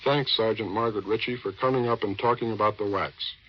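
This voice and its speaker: A man's voice: lowish voice, fairly even intonation, fairly quick, slightly rough, muffled recording. An American man interviewing on 1940s radio in a folksy, wry manner, on a vintage recording.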